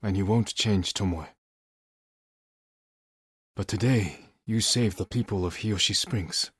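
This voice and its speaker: An actor playing a grave, resolute samurai warrior in a video game, speaking in measured, solemn, terse lines with a husky voice. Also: male voice, on the low side, no room sound, studio quality. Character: stoic, honour-bound samurai warrior, adult man, grave and resolute. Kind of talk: dramatic scripted game dialogue.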